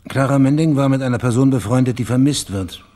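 An actor playing a calm private investigator in a radio crime drama, terse, polite and inquisitive. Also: male voice, medium pitch, quick pace, close to an everyday voice. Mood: probing.

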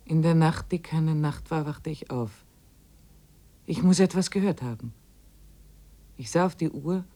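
An actress playing an observant expedition diarist, giving measured literary narration in a 1950s German radio drama. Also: female voice, low voice, warm tone. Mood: observant, hushed. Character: observant expedition diarist recounting days in the wilderness.

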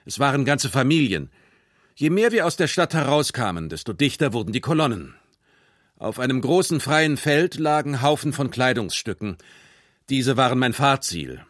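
An actor playing a truck driver giving a factual, deliberate statement in a documentary radio drama. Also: male voice, medium pitch, big pitch swings, a bit bright, no room sound, studio quality. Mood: factual, uneasy. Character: male Nazi-era truck driver giving matter-of-fact interrogation statement.

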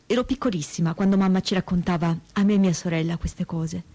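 A woman acting a troubled, reflective daughter of a Holocaust survivor in a staged testimony reading, with searching, halting phrasing. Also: on the low side, steady tone, warm tone, close to an everyday voice. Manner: hesitant, searching phrasing with pauses and repetitions. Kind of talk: dramatic reading of spoken testimony.

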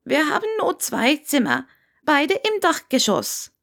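A woman voicing a curt, grudging old male innkeeper with a hoarse voice in an audiobook story. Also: sits high, huge pitch swings, measured pace, fairly bright tone, voice pushed up, heavily acted. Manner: curt, terse.

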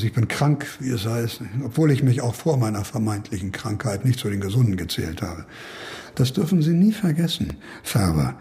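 Creaky, cantankerous older professor. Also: male voice, medium pitch, dark tone. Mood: bitter, musing. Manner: rambling, emphatic monologuing with musing pauses. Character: ailing older professor, brooding, cantankerous, philosophizing. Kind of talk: radio drama dialogue.

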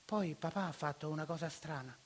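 A man voicing an excited young schoolboy who tells his family's story in a radio stage monologue. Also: fairly high pitch, fairly quick, slight background noise, lightly acted. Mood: hushed. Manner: breathless, eager storytelling.

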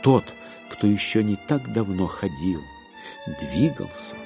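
A man narrating a literary audio drama, with expressive, ironic and deliberate phrasing. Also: lowish voice, creaky, soft background music.

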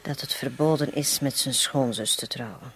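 Radio drama: frank, protective housekeeper. Extremely husky, candid, dry studio sound. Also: female voice, extremely low pitch, normal speed, dry recording, heavily acted. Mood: grave. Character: frank, protective female housekeeper confronting her master.

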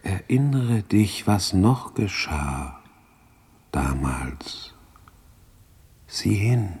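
A man voicing a grave, knowing spirit guide with a measured, solemn delivery in a 1960s German radio drama. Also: deep voice, sing-song, darkish tone, husky, exaggerated voice. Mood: grave, probing.

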